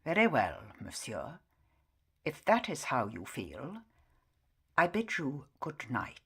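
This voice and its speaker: A woman's voice: very deep voice, wildly swooping pitch, takes it slowly, gravelly, slightly rough, exaggerated voice. An actress playing a stern, dignified female opera box keeper in an audio drama, formal and clipped. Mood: cool. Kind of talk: full-cast audio drama acting.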